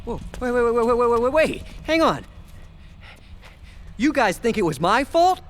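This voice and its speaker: A man's voice: extremely high pitch, sing-song, moderate pace, a little hiss or hum. An actor playing a cowardly, flustered young rookie soldier in a video game, whining and stammering as he repeats himself. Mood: panicked pleading. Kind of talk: comic-relief video game cutscene acting.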